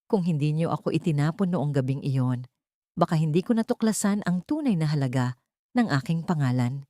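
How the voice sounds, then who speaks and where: A woman acting a cold, vengeful heiress, low-voiced. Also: a little fast, dry recording, clean studio sound. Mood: icy politeness. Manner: gentle but cutting, calm and authoritative. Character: wronged heiress, cold, composed, quietly vengeful adult woman. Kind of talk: dramatic audio story acting.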